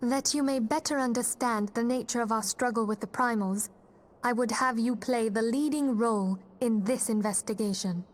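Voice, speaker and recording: High-voiced, gracious woman leader, acted. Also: lightly acted. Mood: composed, formal. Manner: formal, measured, gracious. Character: composed, courteous female leader of a secret order. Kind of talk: video game cutscene acting.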